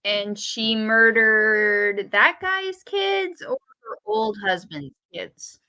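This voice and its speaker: A woman's voice: medium pitch, lively intonation, very slow, sharp tone, no echo. A woman co-hosting a podcast, talking in casual, conversational chat. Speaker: podcast co-host.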